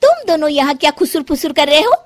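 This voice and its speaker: This is a spoiled young wife who believes she is a princess, acted by a woman for a cartoon story. Her voice sits very high and swings wildly in pitch. She barks orders in a grand, imperious manner. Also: sing-song, quick pace, no room sound. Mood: threatening. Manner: commanding, imperious, uses the royal we. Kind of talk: Hindi cartoon story voice acting.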